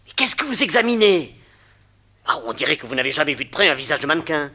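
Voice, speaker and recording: An excitable, doubting sidekick. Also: male voice, on the high side, fast, exaggerated voice. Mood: alarmed. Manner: nervous and incredulous, voice jumps up. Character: excitable, doubting male companion. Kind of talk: 1940s radio acting.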